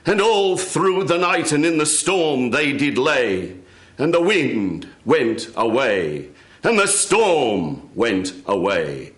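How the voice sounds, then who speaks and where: A man performs a folk tale as a live storyteller. His delivery is very slow, theatrical and incantatory. The sound is roomy, with clear echo from the studio. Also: mid-range voice, very animated, fairly bright tone. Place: radio studio before a live audience.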